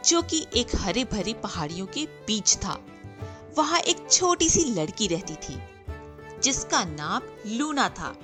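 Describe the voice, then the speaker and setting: A woman narrating a bedtime fairy tale in a gentle, measured reading style. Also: fairly high pitch. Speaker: storyteller. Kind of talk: slow scripted bedtime story narration. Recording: narration over clear background music.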